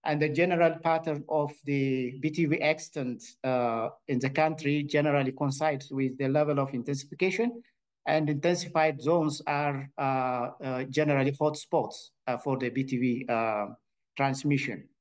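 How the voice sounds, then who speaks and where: A man from Ethiopia with an Ethiopian accent, giving a conference presentation on his research and pausing often with filled pauses and restarts. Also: medium pitch, steady tone.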